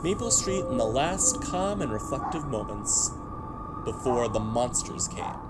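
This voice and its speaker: An actor playing the solemn, ominous narrator of an uncanny radio play, reading scripted narration. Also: male voice, mid-range voice, very animated, measured pace. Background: loud music.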